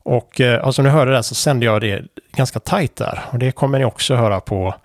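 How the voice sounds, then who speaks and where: A man, explaining amateur radio Morse code procedure in an unhurried way, with many fillers. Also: medium pitch. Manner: unhurried, many fillers, spells out letters and call signs.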